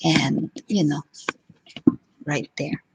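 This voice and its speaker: Filipino lady from cebu, heavy accent, rambly home-cooking livestream chat, kinda low voice. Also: steady tone, very slow, creaky, background noise. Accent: Filipino (Cebuano). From Cebu, Philippines. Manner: chatty, rambling, many fillers. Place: her home kitchen, indoors.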